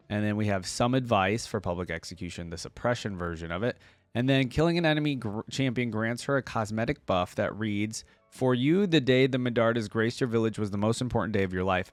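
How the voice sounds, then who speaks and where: A man's voice: on the low side, no echo. A man giving casual reaction commentary, reading text aloud and adding conversational asides. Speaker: reaction video host.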